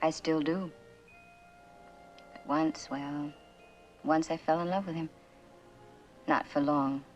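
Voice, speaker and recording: An actress playing a guarded, world-weary woman, terse and measured, in a vintage suspense drama. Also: low voice, creaky, darkish tone, muffled recording, faint music behind, exaggerated voice. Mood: wistful. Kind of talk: mid-20th-century suspense drama acting.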